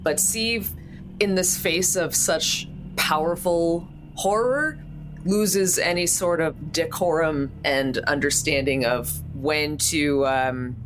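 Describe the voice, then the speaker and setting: A woman's voice: deep voice, slow pace, background noise. A woman chatting casually as a player in a tabletop roleplaying game.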